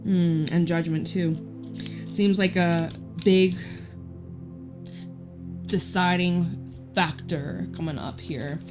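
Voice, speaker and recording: Loud chatty woman reading tarot. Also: on the low side, fairly even intonation, slow, pauses often. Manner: loud, chatty, rambling with side stories. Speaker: tarot reader. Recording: guitar music playing behind. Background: guitar music.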